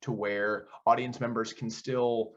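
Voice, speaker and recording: A young American man talks as an interview guest about his creative work. His voice sits at an average male pitch and his answers are earnest and explanatory. The recording is a little dull and lo-fi. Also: flat delivery, a little slow. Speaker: community theater director and actor, interview guest. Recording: dull, lo-fi audio.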